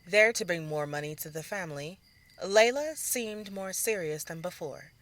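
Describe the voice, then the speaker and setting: A woman's voice: fairly low pitch, lively intonation, moderate pace, fairly bright tone. A woman reading scripted narration for a fantasy story as its narrator. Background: faint music.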